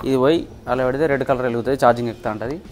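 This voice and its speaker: Fast-talking Telangana Telugu man explaining things. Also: medium pitch, steady tone, darkish tone, a little hiss or hum. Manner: explanatory, step by step with examples. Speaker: vlogger demonstrating a gadget. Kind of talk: casual explanatory chat to friends.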